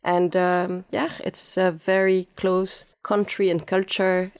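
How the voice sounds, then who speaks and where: A woman's voice: fairly low pitch, flat delivery, slow pace, clean tone. A woman in her forties with a French accent, giving a conversational account of herself as an interview guest. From France and Japan. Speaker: podcast guest, photography curator.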